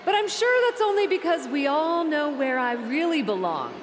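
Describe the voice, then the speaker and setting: A woman's voice: high-pitched, big pitch swings, normal speed, long reverb tail, a little hiss or hum. An actress voicing a smug, sarcastic female wrestler who is stepping down as general manager, in a video game cutscene.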